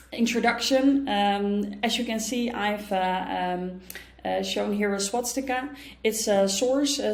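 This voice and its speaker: A Dutch-accented woman in her thirties presents a pre-recorded history talk, measured and full of small ums and uhs. Her mid-height voice carries a noticeable room echo. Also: mid-range voice, a little mellow. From Netherlands. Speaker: history teacher giving a talk.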